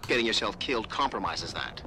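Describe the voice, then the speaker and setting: A prickly security techie from a video game. His tone is extremely sharp and forward, the delivery curt and snippy, over faint background ambience. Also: lowish voice, sing-song, fairly quick, a little hiss or hum. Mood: irritated, dismissive. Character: prickly, snide male tech security colleague.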